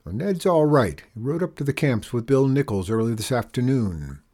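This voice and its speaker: A man voicing an easygoing village storekeeper, speaking up in a brisk, reassuring tone. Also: mid-range voice, very animated, warm tone.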